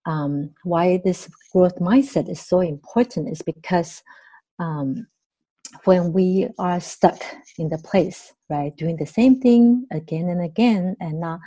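A woman's voice: fairly low pitch, a little slow, dark tone, rough recording. A woman, speaking as a podcast guest and therapist in training, thoughtful and full of fillers and "right?" tags. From Taiwan. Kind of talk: podcast interview.

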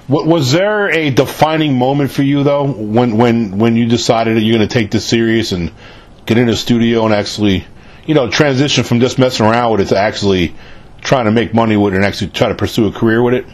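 A man's voice: mid-range voice, a little fast. Casual man hosting an interview. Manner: informal, often says "man". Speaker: show host interviewer.

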